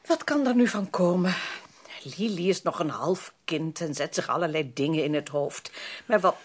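A proper, fretful middle-aged mother in a period radio drama. Her husky voice rises and falls widely with genteel emphasis. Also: fairly low pitch, expressive, moderate pace. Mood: worried, disapproving.

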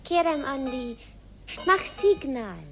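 A lively country girl. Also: sits high, takes it slowly, soft background music, heavily acted. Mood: teasing. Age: young adult. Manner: playful, broken German grammar. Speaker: Piroschka, station master's daughter. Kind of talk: 1950s radio play acting.

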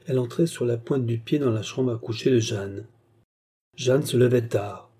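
A man narrating a literary novel as an audiobook, reading in measured phrases. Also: mid-range voice, dark tone, husky.

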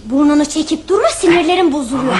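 A woman's voice: high voice, lively intonation, quick pace, darkish tone. A woman playing a nervy, sceptical young child in a radio play, whiny and fretful. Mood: grumbling. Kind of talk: radio theatre acting. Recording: noisy old radio recording.

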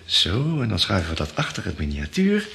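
A man acting in an old radio play, talking quietly and half-whispering while he searches in the dark. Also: fairly low pitch, huge pitch swings, moderate pace, gravelly, slight background noise. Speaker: radio drama actor.

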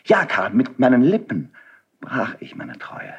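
An actor playing a noble, self-sacrificing friend of a prince in a classical verse radio drama, declamatory and emotional, moving between hushed and fervent delivery. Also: male voice, medium pitch, wildly swooping pitch, a little slow, breathy edge, lo-fi sound, exaggerated voice. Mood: grave confession.

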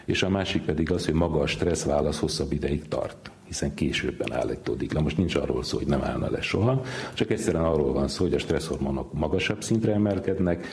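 A middle-aged man with an extremely deep voice full of gravelly fry. He explains research in a measured, patient way, as an interviewed researcher. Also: animated, a little mellow. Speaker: stress researcher, interviewed guest.

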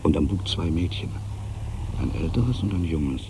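An actor playing an aging, weary writer in a literary monologue, brooding and wry, with a gravelly voice and deliberate, weighted pauses. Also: male voice, deep voice, lively intonation, noisy background. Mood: watchful, musing. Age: older.

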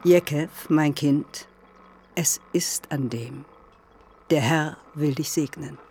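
A woman's voice: deep voice, slow, darkish tone, slight background noise. An actress playing an imperious, scheming biblical mother in a literary radio drama, speaking tersely and at times in incantatory whispers. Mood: commanding, urgent.

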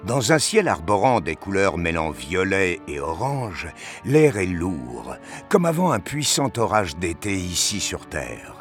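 A man narrating a scripted science-fiction audio story in a deliberate, dramatic storytelling manner. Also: low-pitched, sing-song, faint music behind.

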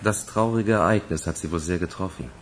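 An actor playing a concerned, reproachful sanatorium doctor in a German radio crime drama, husky and paternal. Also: male voice, sits low, a little fast, slight background noise, lightly acted.

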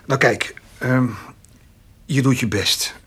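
A man's voice: mid-range voice, takes it slowly, a bit bright, husky, full character voice. An actor playing a shy, awkward country man in a naturalistic radio drama, speaking hesitantly and in a roundabout way.

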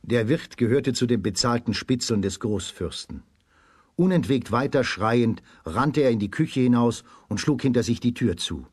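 Husky male storyteller, close and dry. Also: medium pitch, expressive, normal speed, dry recording. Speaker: narrator. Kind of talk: scripted storytelling for children's radio play.

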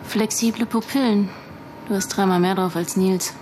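An actress playing a curt, sharp-tongued young businesswoman in a naturalistic radio drama. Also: mid-range voice, fairly even intonation, moderate pace, slight background noise, close to an everyday voice. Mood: sharp, impatient.

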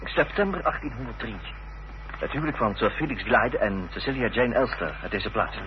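An actor playing a courteous, inquisitive gentleman investigator in a radio drama, speaking in a polite, measured, formal way. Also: mid-range voice, animated, close to an everyday voice. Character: courteous, inquisitive gentleman investigator narrating his own search. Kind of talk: radio drama dialogue and first-person narration. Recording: muffled old radio play recording, faint hiss and hum.

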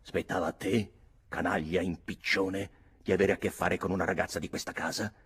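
A man's voice: low-pitched, steady tone, fairly quick, fairly bright tone, slightly rough, full character voice. A man reading an audiobook, voicing a scornful, angry young man who snarls insults.

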